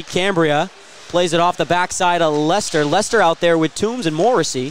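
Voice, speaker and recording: A man doing live radio play-by-play of ice hockey, describing each move and surging with excitement on big plays. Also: high-pitched, a little hiss or hum. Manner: rapid-fire descriptive calls with excited surges at big plays.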